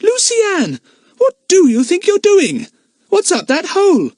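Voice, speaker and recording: A man voicing a fierce, irritable girl who snaps in a high, impatient voice. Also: wildly swooping pitch, measured pace, no echo, studio quality, full character voice. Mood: alarmed.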